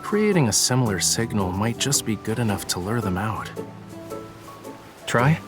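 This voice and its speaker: A man acting as a young male painter in a video game, wry and nonchalant. His voice sits fairly low and has a teasing, whimsical manner. Music plays clearly underneath. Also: animated, normal speed, lightly acted. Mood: coaxing, instructive. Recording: music behind the voice.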